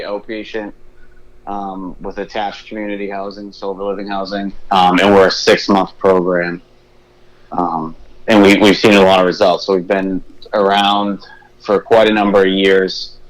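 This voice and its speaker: A man with a Boston accent, a treatment center head calling in as a guest and calmly explaining his program. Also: lowish voice, moderate pace, a little hiss or hum, rough recording. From Boston area. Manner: easygoing, explanatory, lists services steadily. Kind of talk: casual phone interview. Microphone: phone line.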